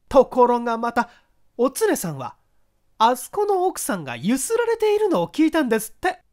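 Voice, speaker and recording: A woman's voice: high voice, darkish tone, pitched above the performer's own voice. A woman voicing an eager, scandalised neighbourhood gossip with a chatty, insinuating manner in an audiobook. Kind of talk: acted audiobook dialogue.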